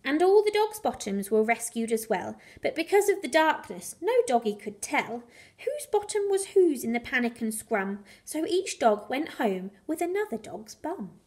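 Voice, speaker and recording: A woman reading a children's bedtime story aloud in an animated, expressive way with dramatic emphasis on the rhymes. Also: sits high, normal speed. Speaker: primary school teacher reading a bedtime story.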